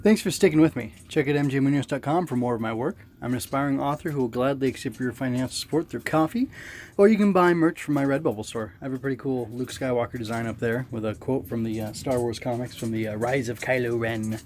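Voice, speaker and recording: A man's voice: medium pitch, fast, darkish tone, a little hiss or hum. A man giving a casual solo review as a podcast host, rambling, thinking aloud and correcting himself as he goes.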